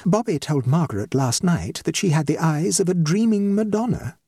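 A man's voice: on the high side, expressive, normal speed, dark tone, breathy edge, no room sound, clean studio sound, pitched above the performer's own voice. A man voicing a middle-aged society hostess, an anxious matchmaking mother with an emphatic, effusive manner, in a comic story. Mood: grave, imploring. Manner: emphatic, effusive, self-dramatizing. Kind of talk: comic short story reading.

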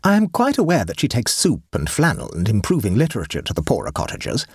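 A man's voice: mid-range voice, wildly swooping pitch, fast, slightly rough, no room sound, clean studio sound, voice pushed up, heavily acted. A man reading an audiobook and voicing a severe, pompous middle-aged aunt who lectures sternly.

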